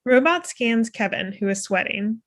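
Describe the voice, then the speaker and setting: A woman's voice: a little high, animated, moderate pace. Woman reading screenplay stage directions. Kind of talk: scripted narration, table read. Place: room at home, video call. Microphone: video call computer microphone.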